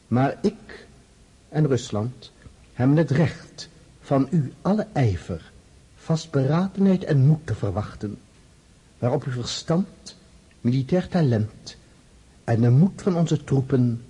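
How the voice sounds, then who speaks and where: A man's voice: mid-range voice, warm tone, husky, heavily acted, rough recording. A man acting a stern, formal Russian tsar dictating a grave letter in a radio play.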